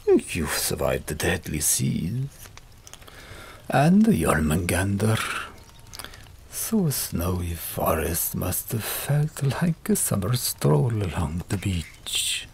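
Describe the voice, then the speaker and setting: A woman voicing a young Viking man in an audiobook, teasing and tender, with slow, deliberate delivery. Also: rumbling low, very animated, gravelly, a little mellow, slight background noise, voice pushed down, exaggerated voice.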